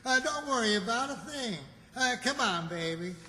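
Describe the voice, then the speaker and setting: A man's voice: extremely high pitch, lively intonation, normal speed, sharp tone, exaggerated voice. A man acting a doddering, amiably confident old country uncle, hesitant and muddled, in an old-time radio comedy. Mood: muddled, cooing. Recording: echoey hall with long reverb.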